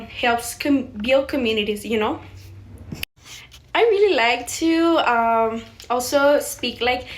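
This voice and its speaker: Young mexican woman, spanish is her first language, rambling casual english full of likes and ums, voice a bit on the high side. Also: a bit bright, a little hiss or hum. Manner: chatty, many fillers like "like" and "um". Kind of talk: casual personal monologue.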